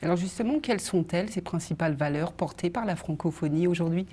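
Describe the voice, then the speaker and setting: A woman's voice: on the low side, fast, mellow, husky. A woman interviewing a guest on television, asking long questions with frequent fillers.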